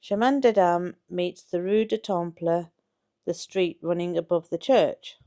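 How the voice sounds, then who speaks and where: A woman's voice: on the low side, measured pace, warm tone. A woman reading a scripted history narration aloud in a factual, storytelling manner. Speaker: channel co-host narrating a history video.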